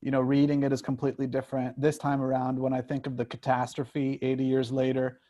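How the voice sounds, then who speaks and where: A man's voice: mid-range voice, monotone, brisk, mellow. A screenwriter, a man, reflecting casually and a little hesitantly as he takes notes on his work.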